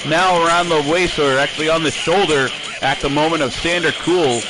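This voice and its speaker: A man calling wrestling play-by-play, excitable and raising his voice over the action. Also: fairly high pitch, brisk, heavy background noise. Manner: excitable, calls action with shouting bursts. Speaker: play-by-play commentator. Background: music.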